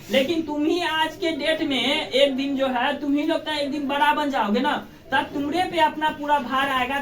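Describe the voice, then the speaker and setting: A woman teacher with a fairly high voice and a Bihari accent, recorded in a very echoey room a little away from the microphone. She speaks colloquially, urging her pupils on with rhetorical questions and frequent tag questions to check they understand. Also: recorded from a distance. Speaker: school history teacher. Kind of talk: informal classroom lesson with rhetorical questions.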